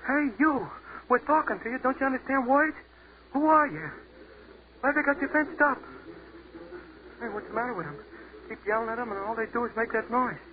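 An actor playing a frightened, blunt American army sergeant in a 1940s radio horror drama, agitated and slangy. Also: male voice, very high-pitched, expressive, normal speed, pauses often. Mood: shouting, demanding. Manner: agitated, slangy, uneducated speech. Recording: scratchy, tinny 1940s radio recording.

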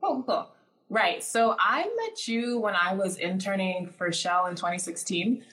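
A woman's voice: mid-range voice, lively intonation, a little slow, fairly bright tone. Chatty young woman in an echoey room. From born in Nigeria, raised in the US. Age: 20s. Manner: chatty, many "like" fillers. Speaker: guest. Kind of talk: casual interview.

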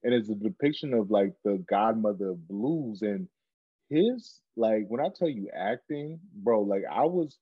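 A man's voice: fairly low pitch, lively intonation, unhurried, breathy edge, no room sound, narrow, tinny audio. A man from Cameroon co-hosting a podcast, talking earnestly in casual chat and often repeating words as he builds a point. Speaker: podcast co-host.

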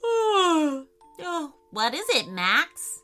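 Woman voicing a dramatic, high-voiced talking storybook lamp. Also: big pitch swings, extremely slow, bright, forceful tone, soft background music, heavily acted. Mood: sleepy. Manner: theatrical, exclamatory. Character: talking bedside lamp, female, dramatic and kindly. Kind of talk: children's storybook character voice.

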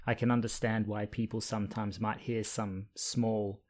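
A man whose Australian accent has been worn down by years abroad hosts a relaxed interview over a video call. He talks in a measured way, trading stories and asking easy follow-up questions. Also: fairly low pitch. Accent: mixed Australian. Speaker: podcast host.

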